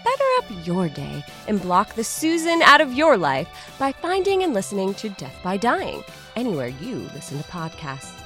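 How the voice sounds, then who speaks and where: A woman presents a podcast promo with mock-solemn melodrama. Her voice is bright and very animated, with playful theatrical swings over faint music. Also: medium pitch, moderate pace.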